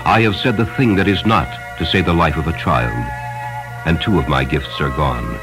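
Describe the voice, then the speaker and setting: A man portrays a noble, devout traveler in a storybook. The voice is very low and grave, with formal phrasing and music underneath. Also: normal speed, low-quality recording. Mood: remorseful prayer.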